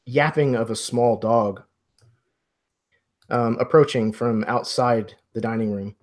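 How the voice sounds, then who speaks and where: A man working as game master, narrating an improvised roleplay scene in a measured, descriptive way. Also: medium pitch, fairly even intonation.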